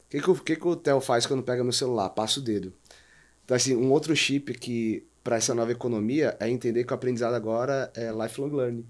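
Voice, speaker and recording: Male podcast guest, Brazilian accent, average pitch, rapid informal delivery in a casual business discussion.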